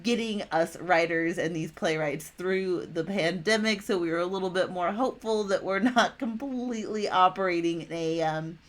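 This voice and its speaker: A woman's voice: on the low side, normal speed, hardly any pauses. An American woman, slightly tipsy, talking in a casual, chatty, enthusiastic way as she makes an impromptu video announcement. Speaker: playwright announcing own show on video channel. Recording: music playing behind the voice. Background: music.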